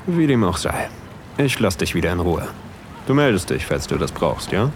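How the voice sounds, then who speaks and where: A man playing a tall, confident, charming yacht deckhand in an intimate audio drama, quietly flirtatious and unhurried. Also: low-pitched, very animated, a little hiss or hum. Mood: friendly, teasing.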